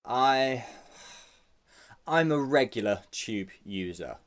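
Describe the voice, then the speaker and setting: A man playing a polite IT worker in an amateur radio play, speaking in a formal, measured way. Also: mid-range voice, expressive. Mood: nervous, halting.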